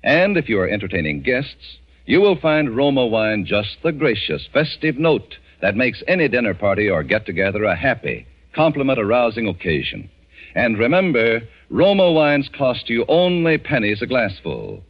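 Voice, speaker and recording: Animated 1940s radio pitchman. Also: moderate pace. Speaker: commercial announcer. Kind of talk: 1940s radio ad read, scripted. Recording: dull 1940s radio broadcast recording.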